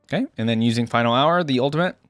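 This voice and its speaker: A man's voice: medium pitch, racing, fairly bright tone, dry recording, clean studio sound, faint music behind. A man giving casual game reaction commentary in an informal, chatty way, thinking aloud as he goes. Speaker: reaction video creator.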